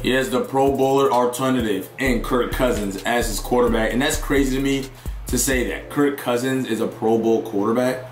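Hoarse young man hyping a football video game. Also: medium pitch, normal speed, roomy sound, soft background music. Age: young adult. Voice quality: raspy. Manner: excitable, hypes plays, chatty with viewers. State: hoarse, sore voice. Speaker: gaming YouTuber. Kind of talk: live gameplay commentary.

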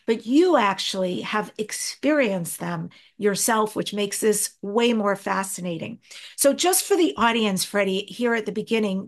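A middle-aged woman hosts an interview with an eager, enthusiastic manner. Her voice sits in a middle register and comes through a video call. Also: medium pitch. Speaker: host. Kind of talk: podcast interview.

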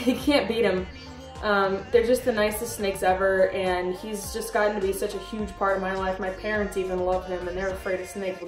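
A young woman in her twenties talks casually in a vlog, bubbly and gushing, now and then squealing in a high baby-talk voice. She is recorded in a small room with a roomy echo, sitting a little back from the mic. Faint music plays behind her. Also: distant mic. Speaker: reptile keeper vlogger. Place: small bedroom reptile room indoors. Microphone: camera a little way off.

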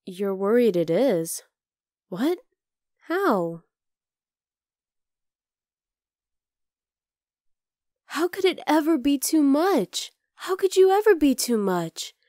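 Woman acting a slow-paced, teasing wolf-girl roommate. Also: sits high, big pitch swings, dark tone, no room sound, clean studio sound, exaggerated voice. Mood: earnest, concerned. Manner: casual, exasperated but tender, sighing. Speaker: voice actor in audio roleplay. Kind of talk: ASMR roleplay, acted one-sided dialogue.

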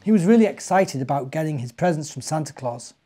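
A British man in his forties reading a children's storybook expressively and deliberately, as if to young listeners. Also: a little high, lively intonation, mellow. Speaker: church minister reading stories to children. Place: living room, indoors.

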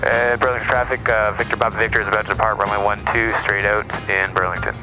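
A man's voice: fairly low pitch, little pitch movement, quick pace, over music, low-quality recording. A man training as a pilot, chatting casually and making radio calls over an aviation headset in a small plane. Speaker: student pilot. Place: small plane cockpit in flight.

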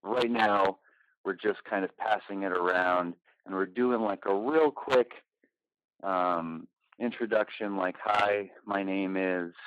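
A man's voice: low voice, no echo. A man casually moderating an online group meeting in an informal, loosely phrased way. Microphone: online voice call. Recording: dull lo-fi online call audio.

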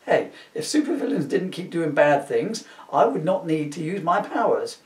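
A man's voice: fairly high pitch, very animated, normal speed, darkish tone. A man voicing an earnest, declamatory young superhero who gets mildly exasperated, in a comic storybook reading. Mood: puzzled. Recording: roomy, distant camera microphone.

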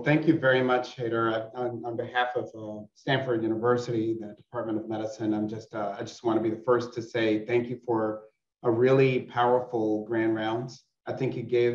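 Halting man, distant roomy video call. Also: medium pitch, level tone, highs cut off. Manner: halting, with many fillers. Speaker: cardiologist hosting grand rounds. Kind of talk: thanking the speaker, loose remarks. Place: home office with bookshelves.

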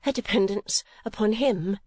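Storybook figure: earnest young woman, soft and halting, read by a woman narrator, dry close sound. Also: medium pitch, husky, no echo, pitched above the performer's own voice, natural voice.

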